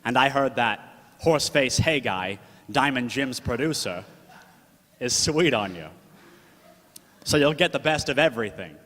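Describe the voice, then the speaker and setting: A man's voice: mid-range voice, lively intonation, unhurried, pauses often, fairly bright tone. A man acting a slangy, fond and protective 1940s gumshoe in a radio drama. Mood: fond, urging. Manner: slangy wise-guy talk. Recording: echoey hall reverb.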